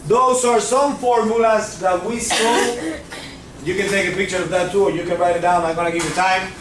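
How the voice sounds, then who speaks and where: Cuban guy teaching physics, kinda high voice, super echoey room, mic far away. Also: fairly even intonation, a little fast, noisy background. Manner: checks in with students often. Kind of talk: classroom lecture. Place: classroom.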